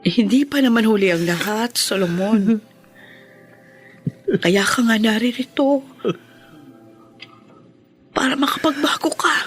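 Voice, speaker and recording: An actress with a Filipino accent playing a steadfast, hurt wife of a prisoner, tender and emotional, in a radio drama. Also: female voice, high voice.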